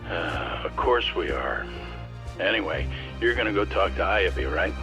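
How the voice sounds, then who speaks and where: An actor playing a gruff senior boss of a law office in a video game cutscene. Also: male voice, low-pitched, expressive, measured pace, over music. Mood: brisk, assured.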